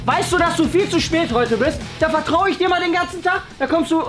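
A man's voice: very high voice, brisk. A man in his thirties playing a strict, controlling father who nags and repeats himself in a comedy sketch. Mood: stern. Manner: bossy, nagging, repeats himself. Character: strict, controlling middle-aged father fussing over his tea. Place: indoor office kitchen and lounge set. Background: music.

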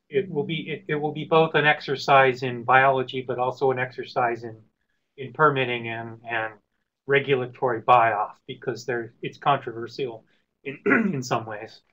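A man who is recovering from a cold and sounds hoarse, answering webinar questions with halting restarts and frequent throat clearing. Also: mid-range voice, pushed and bright. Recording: muffled lo-fi web call audio.

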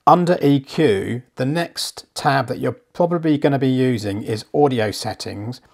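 A man with a croaky, flu-worn voice gives a chatty, steady step-by-step walkthrough as a hifi reviewer. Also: mid-range voice, moderate pace. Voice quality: gravelly. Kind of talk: screen walkthrough tutorial. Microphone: voice-over.

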